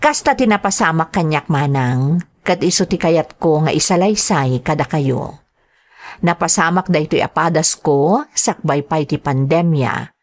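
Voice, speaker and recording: A woman's voice: low voice, measured pace. A Filipino woman with an Ilocano accent, hosting a radio drama and reading out greetings and letters. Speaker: radio drama host and narrator.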